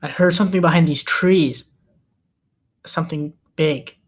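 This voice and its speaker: A man's voice: on the high side, unhurried. A man playing an exasperated, practical amateur cameraman in a comedic table read. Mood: deadpan.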